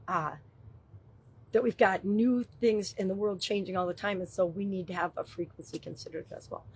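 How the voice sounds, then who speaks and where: Hoarse, husky woman business host. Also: mid-range voice, low-quality recording. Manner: upbeat, chatty, thinks aloud with fillers. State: hoarse, gravelly voice. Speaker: business coach and show host. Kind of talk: daily business tips monologue.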